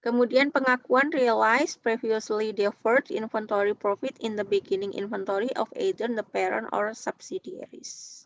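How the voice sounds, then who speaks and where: A woman with an Indonesian accent giving an explanatory lecture as a university teacher, speaking haltingly and often checking in with short tag words. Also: fairly high pitch, fairly even intonation, no echo, dull audio. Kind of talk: online accounting lecture, explanatory.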